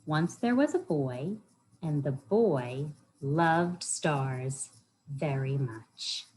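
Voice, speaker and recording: Slow-paced woman hosting children's storytime. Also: low voice, lively intonation, a little mellow, a little hiss or hum, rough recording. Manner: simple explaining, frequent questions to young listeners. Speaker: library storytime host for children. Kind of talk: children's storytime, reading aloud and chatting with questions.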